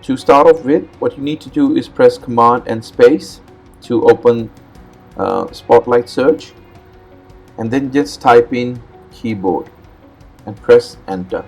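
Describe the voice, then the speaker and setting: A man's voice: medium pitch, slow pace, faint music behind. Urdu-speaking man narrating a computer tutorial. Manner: relaxed, plain explaining with frequent "uh" and repeated words. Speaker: host. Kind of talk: step-by-step screen tutorial narration.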